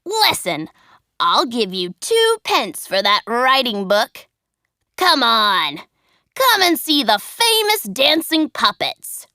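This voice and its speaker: A pushy showman selling tickets, as a woman puts him on, loud and coaxing. His voice bounces up and down in a dry, close storybook reading. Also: wildly swooping pitch, takes it slowly, no room sound, full character voice. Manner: coaxing, loud salesman pitch.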